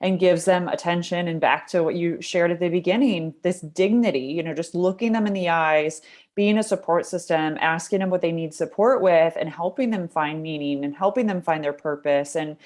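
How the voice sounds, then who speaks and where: Low-voiced woman podcast host. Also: normal speed, a little mellow. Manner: rambling questions with fillers like "right?" and "you know". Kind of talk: interview questions, casual chat.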